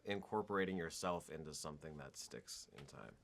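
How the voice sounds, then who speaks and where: A man's voice: rumbling low, fairly quick, gravelly. A man interviewing guests for an awards website, asking loose, conversational questions.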